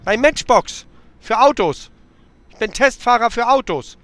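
Male prank caller, higher-pitched, deadpan and playful. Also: measured pace, no echo. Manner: deadpan, jokey, plays along. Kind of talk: prank phone call.

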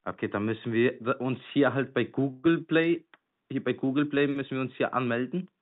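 A man's voice: medium pitch, normal speed. A man speaks in a mid-range voice over a tinny phone line. He instructs step by step, insistent and repetitive. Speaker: phone scammer.

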